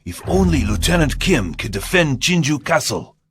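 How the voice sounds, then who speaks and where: An actor playing a grave, commanding Korean admiral in a video game, with solemn, oratorical delivery. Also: male voice, a little high, very animated, moderate pace, a bit bright, soft background music. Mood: stirred, triumphant. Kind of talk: dramatic video game cutscene acting.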